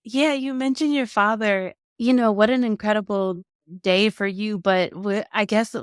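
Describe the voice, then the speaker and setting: A woman hosting a podcast, asking gentle, halting questions full of fillers. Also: mid-range voice, steady tone, clear, resonant tone, no echo, studio quality. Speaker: podcast host. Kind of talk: podcast interview.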